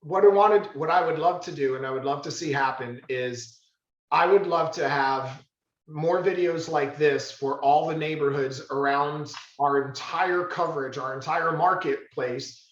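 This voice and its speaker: Emphatic man in an echoey room. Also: fairly high pitch. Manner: emphatic, halting restarts. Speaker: real estate office leader. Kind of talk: team meeting talk. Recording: roomy, dull meeting-room sound.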